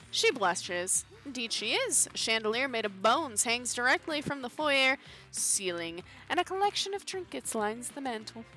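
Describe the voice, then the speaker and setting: A woman's voice: high-pitched, sharp tone. A woman streamer reading a dating game aloud, with playful, sarcastic asides.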